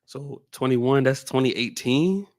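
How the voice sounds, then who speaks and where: A man in his twenties co-hosting a casual podcast, easygoing and slangy, quick to laugh. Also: medium pitch, a little mellow, no room sound, studio quality. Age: 20s. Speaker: podcast co-host. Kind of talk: casual chat.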